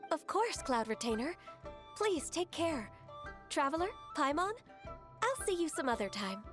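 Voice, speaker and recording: A woman voicing a gentle, polite, earnest young female character in a video game, speaking in a courteous, measured way. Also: high-pitched, very animated. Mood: polite, warm. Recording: music behind the voice.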